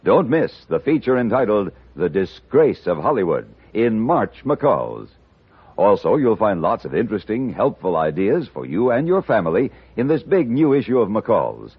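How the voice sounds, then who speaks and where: A man announcing a scripted 1950s radio advertisement with an emphatic sales delivery and a husky voice. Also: fairly low pitch, very animated, moderate pace. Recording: dull old radio recording.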